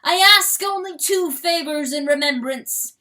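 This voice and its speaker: A woman's voice: high-pitched, unhurried, sharp tone, voice pushed up. A woman voicing a noble male rooster lord who speaks in ringing, declamatory tones. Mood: solemn farewell. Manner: ringing, declamatory crowing speech.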